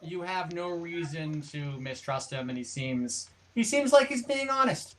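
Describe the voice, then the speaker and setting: Game master for online tabletop play: conversational, improvised, halting narration, medium pitch, audible background noise. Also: animated, a bit bright. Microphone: online group call.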